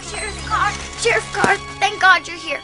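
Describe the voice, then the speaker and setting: Actress as a high-voiced, frightened young country woman. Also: steady tone, normal speed. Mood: anxious, relieved. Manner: earnest, rustic, stumbling slightly. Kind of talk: dramatized documentary reenactment acting. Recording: music under the dialogue.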